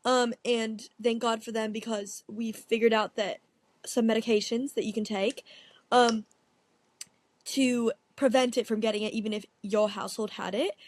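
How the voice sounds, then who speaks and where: A woman's voice: a little high, fairly even intonation, moderate pace. A woman co-hosting a podcast, chatting casually with easygoing, giggly banter. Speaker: podcast co-host. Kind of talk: casual chat.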